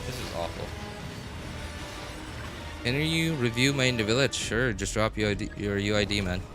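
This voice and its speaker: A man with a fairly low voice speaks casually as a game streamer, with music playing behind him. He thinks aloud as he answers chat, circling back and repeating his phrases. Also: moderate pace. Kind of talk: casual live stream chat while gaming, answering viewers.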